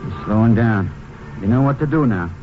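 A man's voice: lowish voice, animated, moderate pace, lightly acted. An actor playing an irritable, bossy small-time car thief, a man with scolding, slangy rural speech in a radio drama. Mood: irritated, bossy. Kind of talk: 1950s western radio drama acting. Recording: muffled, noisy 1950s radio recording.

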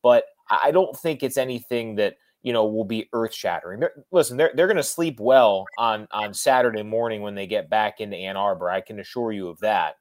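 A man's voice: fairly low pitch, brisk, hardly any pauses, darkish tone. A man giving casual, emphatic sports analysis as a guest on a podcast.

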